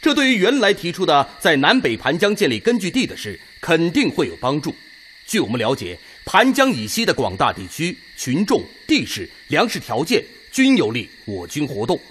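Red army officer giving a briefing, confident n earnest, lively highish voice, radio drama. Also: male voice, normal speed, slight background noise. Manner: earnest, orderly, assured. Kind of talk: Chinese radio drama acting.

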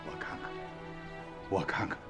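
A man's voice: normal speed, a bit bright, lightly acted. A man playing an old peasant grandfather in a radio drama, earthy and colloquial, emotional in his storytelling. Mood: moved. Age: older. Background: music.

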